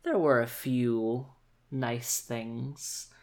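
A tabletop player acts out a haughty, world-weary woman who captains a military force. The words come very slowly, in dry, aristocratic phrasing. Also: medium pitch, steady tone, a little mellow. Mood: guarded, wistful.

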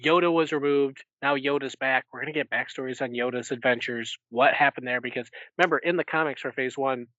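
A man's voice: mid-range voice, fairly quick, few pauses, bright, forceful tone, no echo. Man chatting, dry and slightly muffled. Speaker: podcast co-host. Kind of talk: casual fan discussion. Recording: dry, dull lo-fi audio.

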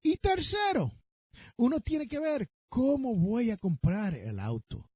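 A man's voice: very high voice, big pitch swings, slow pace, darkish tone, slightly rough, no room sound, studio quality. A man with a Puerto Rican accent hosting a podcast, giving advice emphatically and straight to the listener, with some English terms mixed in. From Puerto Rico. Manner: emphatic, rhetorical questions, addresses listeners directly. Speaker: personal finance podcast host.

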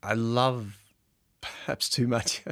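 A man, an actor born in Turkey, answering interview questions in a halting way with many fillers and restarts. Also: mid-range voice, steady tone, fairly bright tone, no echo, studio quality. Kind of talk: interview conversation.

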